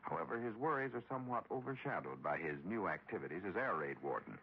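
A man with a deep voice announces an old-time radio program. He reads scripted narration in 1940s style. The recording is dull with slight background noise. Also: big pitch swings, fast talker.

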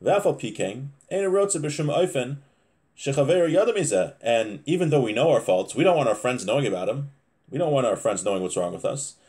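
A man's voice: medium pitch, animated, brisk, darkish tone. A man in his thirties giving an informal religious lesson, reading Hebrew phrases and explaining them in English, often repeating and rephrasing as he goes. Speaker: religious teacher. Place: small home room, indoors.

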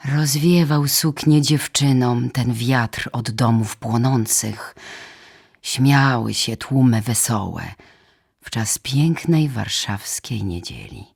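A woman with a low, husky, breathy voice reads poetry in a solemn, dramatic way, phrasing deliberately in short measured lines. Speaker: poetry reader. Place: radio theatre studio.

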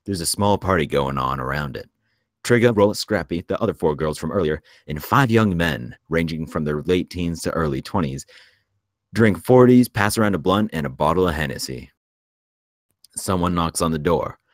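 Very deep-voiced male script narrator. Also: lively intonation, moderate pace, no room sound, studio quality. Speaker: narrator reading stage directions. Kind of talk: scripted narration for a table read.

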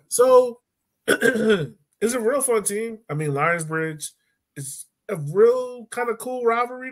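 A young man co-hosting a soccer podcast in casual, slangy fan chat over a video call. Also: a little high. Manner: slangy, informal. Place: indoor room at home, on a video call.

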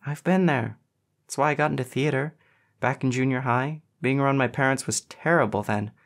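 A man voicing an earnest, expressive and caring teenage girl in audiobook dialogue. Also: medium pitch, normal speed, voice pushed up, close to an everyday voice.

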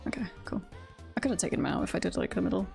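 A woman's voice: lowish voice, animated, very fast, vocal fry, a little mellow, soft background music. A woman streaming a video game, chatting casually and reacting aloud in a playful, giggly manner. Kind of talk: casual livestream chat and game commentary.

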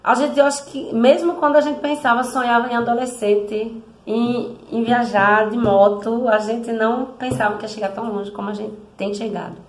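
A woman's voice: fairly high pitch, fairly even intonation, normal speed. A woman, telling a personal story to the camera in a chatty, heartfelt way with frequent self-corrections, recorded at close range in a small room at home. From Brazil, Recife. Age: 50s. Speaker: motorcycle travel vlogger. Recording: echoey room.

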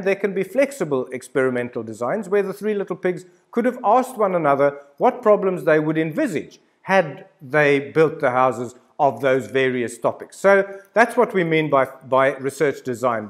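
A man's voice: on the high side, animated, moderate pace. A man in his sixties giving an informal, instructional lecture, talking directly and emphatically to the listener with storytelling examples. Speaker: university lecturer. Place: indoor studio with plain backdrop.

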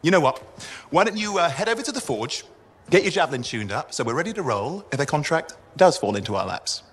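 An actor playing a restless, eager young sidekick in a sci-fi video game, chatty and fussy. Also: male voice, fairly high pitch, very animated, fast talker, a bit bright, husky, full character voice. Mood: casual. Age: young adult. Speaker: cipher who assists a pilot.

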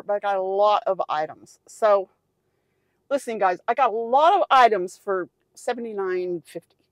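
An older woman in her sixties talks into a phone held close, sitting in her car at night. Her voice sits fairly high and sounds dry and close, with no room around it. She chats in a relaxed way and laughs at herself. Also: no room sound, low-quality recording. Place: inside a parked car at night.

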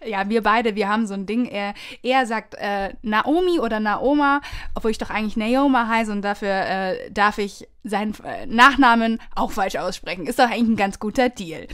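A woman's voice: medium pitch, normal speed, fairly bright tone, smooth. A woman hosting an interview podcast in a personal, conversational style, with an effusive, gushing manner. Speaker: podcast host.

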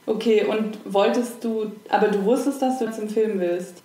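A woman's voice: mid-range voice, fairly even intonation, moderate pace, warm tone, roomy sound, slight background noise. A woman hosting a podcast interview, asking questions and giving brief, attentive backchannel replies. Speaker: podcast host.

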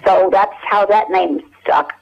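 A woman's voice: medium pitch, fairly even intonation, slow, creaky. Older woman reminiscing over the telephone. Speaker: interview guest. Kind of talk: anecdotal reminiscence in an interview. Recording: dull telephone line.

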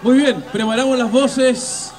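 A man emceeing a festival on an outdoor stage, talking into a handheld mic through the PA with emphatic, drawn-out phrasing. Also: very high voice, steady tone. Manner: emphatic, drawn-out announcer delivery with repeated fillers. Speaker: event MC. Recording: live PA sound with loud crowd.

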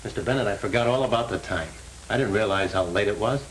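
Actor as a low-voiced 1930s film parolee. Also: male voice, fast, a little hiss or hum, lightly acted, poor audio. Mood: earnest, apologetic. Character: framed parolee, determined man out to clear his name. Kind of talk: 1930s Hollywood crime film acting. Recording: old 1930s film soundtrack, music bleeding in.

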